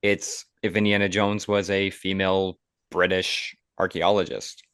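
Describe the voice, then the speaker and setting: A man with a deep voice hosts a casual podcast chat. The sound is dry and close, with an easygoing conversational delivery. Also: little pitch movement, measured pace, a bit bright, clean tone, dry recording. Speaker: podcast host.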